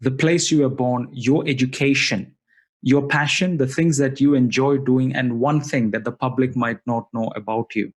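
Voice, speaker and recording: A man's voice: mid-range voice, steady tone, moderate pace. A man hosting an interview, polite and thanking his guest often. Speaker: interviewer, host. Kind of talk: casual interview.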